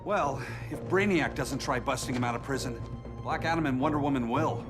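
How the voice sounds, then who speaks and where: Man as wry offhand teammate, game score loud behind. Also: medium pitch, brisk, music in the background, lightly acted.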